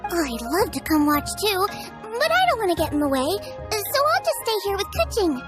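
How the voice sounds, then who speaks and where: A woman voicing a tiny, childlike, cheeky fairy companion in a video game, squeaky and chirpy. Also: wildly swooping pitch, moderate pace, over loud music, exaggerated voice. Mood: cheeky, excited. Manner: chirpy, refers to herself by name. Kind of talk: animated video game voice acting.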